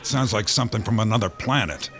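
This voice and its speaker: An actor playing an impatient, commanding county sheriff in a radio drama. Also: male voice, on the low side, quick pace, creaky, music in the background. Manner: brusque, commanding. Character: gruff middle-aged male county sheriff, impatient.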